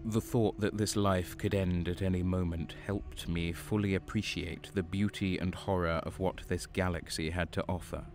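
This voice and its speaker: A man's voice: sits low, steady tone, normal speed, hardly any pauses. An actor playing a haunted, desperate renegade guardsman in an audio drama, dramatic and bitter, narrating his own story. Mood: grim, resigned. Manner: dramatic, stammering under stress. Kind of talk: audio drama acting with first-person narration. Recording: music behind the voice.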